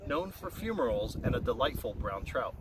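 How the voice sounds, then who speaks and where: A man's voice: medium pitch, very animated, a little fast, close to an everyday voice. A man voicing a declarative, informative moose in a children's storybook. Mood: announcing. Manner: loud, declarative. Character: bellowing moose, informative local guide. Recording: very noisy, distant-mic recording.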